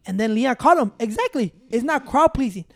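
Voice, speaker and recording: A man chatting as a podcast co-host, telling stories in a relaxed, profane way with Spanish words mixed in. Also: very high voice, brisk, gravelly, no echo. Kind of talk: casual chat.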